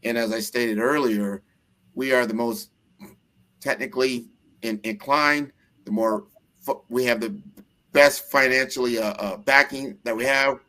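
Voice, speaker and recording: A man from the United States with a fairly low voice, speaking conversationally as the host of an interview. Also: bright, forceful tone, poor audio. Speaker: show host.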